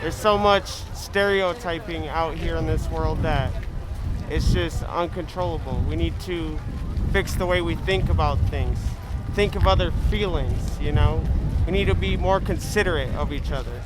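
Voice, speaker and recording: A man's voice: on the high side, normal speed. A man in his twenties from South Dakota, a carpenter, speaking plainly and firmly. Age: 20s. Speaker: protester, carpenter. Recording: loud background noise.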